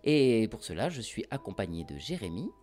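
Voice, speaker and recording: Guy hosting a film podcast w friends, lowish mellow voice, chatty, teases folks. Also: sing-song, brisk, soft background music. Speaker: podcast host. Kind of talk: casual film chat among friends.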